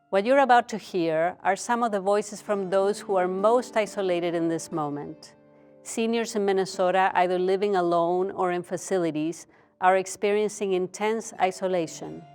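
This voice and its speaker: A woman with a fairly low voice delivers a prepared welcome as an artistic director. Soft music plays along beneath her speech. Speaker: theater artistic director introducing a program. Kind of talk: prepared spoken introduction.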